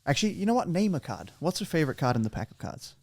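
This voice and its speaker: A man's voice: on the high side, big pitch swings, rapid-fire, no room sound, clean studio sound. Playful chatty male podcast host. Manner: chatty, playful, with many restarts. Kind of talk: casual podcast chat.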